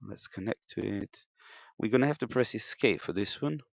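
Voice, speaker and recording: Low, creaky-voiced man, halting delivery. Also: no room sound, lo-fi sound. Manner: hesitant, halting, step-by-step delivery. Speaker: amateur radio operator demonstrating equipment. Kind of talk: unscripted technical demonstration.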